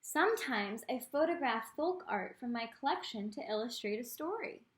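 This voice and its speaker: A woman reading a picture book aloud to children as an art teacher, expressive, with teaching asides spoken directly to the young listeners. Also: a little high, normal speed, a little hiss or hum.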